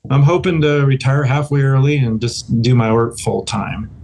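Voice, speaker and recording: A man's voice: mid-range voice, moderate pace, vocal fry, a little mellow, slight background noise. A fifty-year-old American man from Iowa introducing himself casually in a reserved, slightly shy, plain-spoken way. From Iowa, United States. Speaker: guest, artist and machinist.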